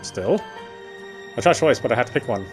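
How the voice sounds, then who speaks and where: Fast-reading man narrating a game. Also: mid-range voice, animated, a little mellow, faint music behind. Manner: stumbles and rereads phrases. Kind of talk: reading visual novel narration aloud.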